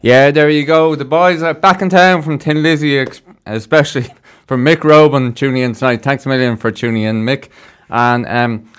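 A man presents a music show from a radio studio. His voice is smooth and clear at a medium pitch. He talks casually, as a host chatting to listeners and guests. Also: steady tone, moderate pace, hardly any pauses, fairly bright tone.

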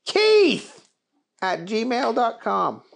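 A squeaky, excitable cowboy fellow who stretches every word into a slow, hollering drawl. The voice leaps up and down and has a gravelly edge underneath. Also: full character voice. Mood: excited. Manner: drawn-out hollering, very high.

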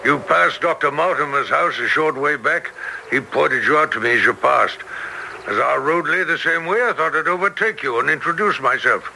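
An affable, eccentric naturalist in a classic radio play. His chatty voice is fairly high with a marked creak. There is faint noise on the vintage recording. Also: expressive, normal speed, pushed and bright, full character voice, poor audio. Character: friendly, eccentric male naturalist neighbor. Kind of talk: classic radio drama acting.

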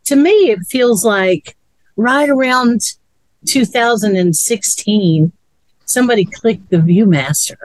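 Woman thinking out loud, slow, repeats words, dry close sound. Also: mid-range voice, dry recording, low-quality recording. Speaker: co-host. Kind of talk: casual chat.

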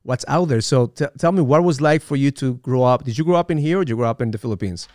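A man from Ecuador hosting a podcast interview, animated and often repeating words as he starts sentences. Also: mid-range voice, quick pace, no echo, clean studio sound. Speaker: podcast host. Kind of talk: casual interview.

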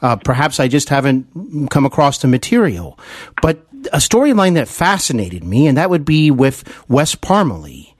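An earnest male podcast host conducts an interview with an admiring, effusive manner. His pitch moves in lively swoops, and the recording is dry with little room sound. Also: normal speed, breathy edge, dry recording. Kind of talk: celebrity interview.

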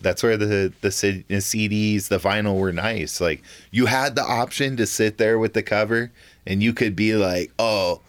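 A man's voice: on the low side, moderate pace, no echo. A man from Southern California in casual chat, telling stories in a laid-back way with slang.

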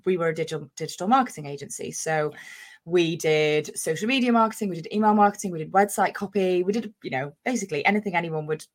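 Chatty 30s woman from north wales, lowish voice, rambles a lot. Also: fast talker. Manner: chatty, rambling, lots of fillers. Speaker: guest, business owner. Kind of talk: casual interview chat.

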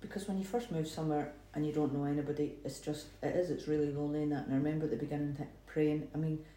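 A woman, a church planter, telling family stories in earnest, relaxed dinner table chat. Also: low voice, rapid-fire. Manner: earnest, reflective storytelling. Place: dining room. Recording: roomy with faint noise.

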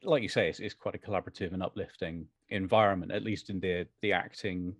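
A man's voice: low voice, fairly even intonation, fast, dry recording, studio quality. A man answering as an interview guest in a conversational, considered and diplomatic manner.

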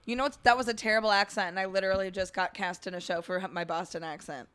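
Bright-voiced woman joking on a podcast. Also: medium pitch, fast talker, smooth, no room sound. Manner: joking, deadpan bits. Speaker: podcast co-host. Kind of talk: casual comedic chat.

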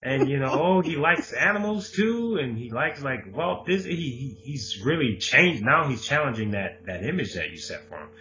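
A man's voice: medium pitch, animated, normal speed, hardly any pauses, sharp tone, slight background noise. A man co-hosting a podcast, chatting casually and animatedly, often laughing and acting out quotes. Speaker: podcast co-host. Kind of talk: casual conversation. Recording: dull lo-fi recording.

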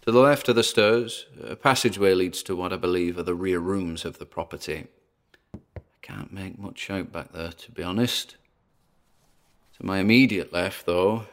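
A man's voice: fairly low pitch, moderate pace, close to an everyday voice. A man with a British accent playing a wary, chatty paranormal investigator narrating his exploration in a podcast-style audio drama, with theatrical asides. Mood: uneasy, curious.

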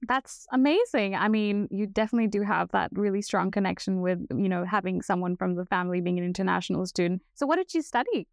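A woman's voice: mid-range voice, fast talker, gravelly, few pauses, no echo, studio quality. A woman hosting a podcast in an upbeat, enthusiastic and effusive way. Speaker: podcast host. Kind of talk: upbeat podcast interviewing with a scripted intro.

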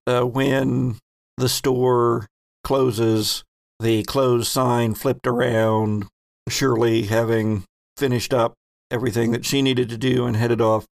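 A man narrating as game master of a tabletop roleplaying game, slow and halting, with many fillers. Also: medium pitch, creaky, dry recording, studio quality.

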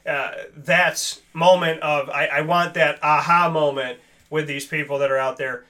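Male talk host, fairly high and forceful tone, earnest, emphatic, casual and rambling. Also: steady tone, unhurried.

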